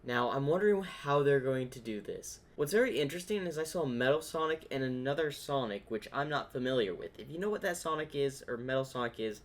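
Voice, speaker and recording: A man hosts a reaction video in a casual, conversational style. He has a mid-pitched voice and thinks aloud, offering theories as he goes. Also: expressive, fairly quick, few pauses.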